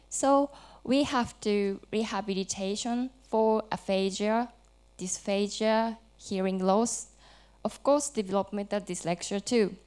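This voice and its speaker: Slow, clear-voiced Japanese woman presenting. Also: mid-range voice, darkish tone. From Japan, Hokkaido. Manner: halting, simple non-native English with small restarts. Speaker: conference presenter. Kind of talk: academic presentation with light jokes. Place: conference hall.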